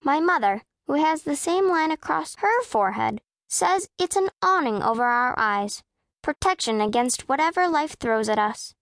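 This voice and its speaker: High-voiced, self-possessed young girl. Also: lively intonation, normal speed, no room sound, studio quality. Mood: composed, wry. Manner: precise, composed, matter-of-fact. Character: self-possessed, proud, scowling eleven-year-old girl narrator. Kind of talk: first-person audiobook narration of children's fiction.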